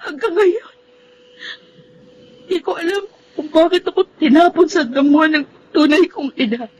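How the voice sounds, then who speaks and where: A woman's voice: high voice, moderate pace, a little mellow, slight background noise, natural voice, rough recording. An actress playing a grieving, tender orphaned young woman in a Tagalog radio drama, speaking tearfully. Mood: tearful anguish. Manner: tearful, emotional delivery.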